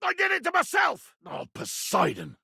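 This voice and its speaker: An actor playing a grandiose, menacing pirate captain in a video game, raspy and theatrical, haughty with archaic nautical insults. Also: male voice, very high-pitched, huge pitch swings, moderate pace, sharp tone, dry recording, clean studio sound, exaggerated voice. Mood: scornful, blustering. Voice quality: gravelly, raspy. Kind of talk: video game character acting.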